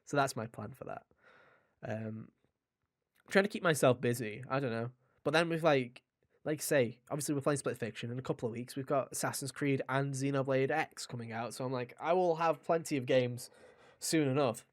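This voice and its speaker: Young male podcast host, opinionated and chatty, mid-pitched, recorded close and dry. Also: normal speed, dry recording. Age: young adult. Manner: opinionated, blunt, swears; rambling, anecdotal storytelling. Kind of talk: casual gaming podcast chat.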